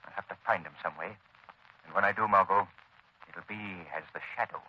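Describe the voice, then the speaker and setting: An actor playing a grave, resolute crime fighter who speaks earnestly and with command in a 1930s radio drama. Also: male voice, low-pitched, fairly quick, sharp tone, slightly rough, full character voice. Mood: grave, brooding. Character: resolute, grave male crime fighter with secret mental powers. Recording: dull old 1930s radio recording.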